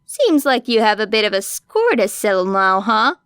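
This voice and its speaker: A woman's voice: medium pitch, very animated, normal speed, a bit bright, smooth, no echo, studio quality. A woman voicing an easygoing, teasing male detective in a lifted, friendly voice.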